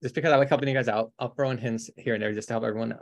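A man's voice: mid-range voice, fairly even intonation, rapid-fire, creaky, no room sound. A man hosting a casual online quiz game, upbeat and joking as he gives hints. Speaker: quiz host.

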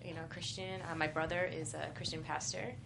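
A woman actor talking as a guest on a fan show, telling lively stories with lots of laughter. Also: sits low, brisk, vocal fry, a crowd in the background, poor audio. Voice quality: laughs often, lively and expressive. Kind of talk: casual chat, animated storytelling.

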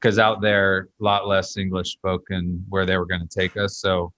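Low-voiced man chatting as host. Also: monotone, normal speed, fairly bright tone, no room sound. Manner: chatty, storytelling with fillers. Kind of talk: casual conversation.

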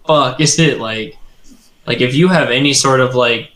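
A man's voice: mid-range voice, normal speed, a bit bright. A man in casual, enthusiastic conversation as a gaming show co-host, with slangy talk and plenty of swearing. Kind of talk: casual chat. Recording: roomy echo.

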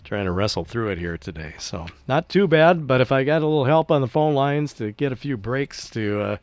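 A middle-aged man hosting a local radio call-in show in a studio, hoarse and raspy from congested sinuses, talking in an easygoing, chatty way. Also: mid-range voice, animated, brisk, dry recording. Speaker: radio host. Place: small-town radio studio. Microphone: studio microphone.

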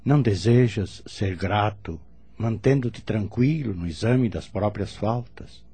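A generous, fatherly male spirit, consoles in Brazilian Portuguese. The voice is fairly low, mellow and husky, and the words come slowly and with compassion. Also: exaggerated voice. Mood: tender consolation. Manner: paternal, consoling. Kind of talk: radio drama acting.

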